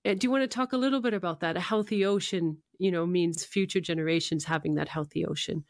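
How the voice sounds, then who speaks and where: A woman's voice: fairly low pitch, fast talker, no echo. A woman interviews a guest as a radio host. Her questions are conversational and casual, recorded dry with no room sound.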